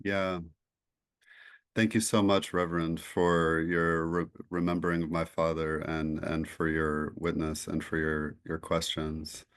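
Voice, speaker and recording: A man answering as a guest, thoughtful and soft-spoken, searching for his words as he reflects. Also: very deep voice, little pitch movement, unhurried, clean tone. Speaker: guest speaker. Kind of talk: reflective discussion.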